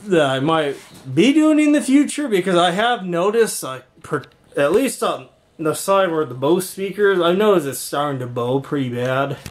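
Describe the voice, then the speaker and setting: A man giving a casual walkthrough vlog in an indoor workshop, rambling and halting as he thinks aloud. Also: sits high. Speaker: video creator showing a home intercom and speaker system.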